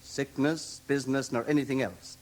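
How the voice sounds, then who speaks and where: An actor playing a brisk, officious, pompous official in a British radio drama, with a British accent and clipped, declamatory delivery. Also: male voice, medium pitch, steady tone, darkish tone, lightly acted. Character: brisk, officious, pompous red-haired male league official.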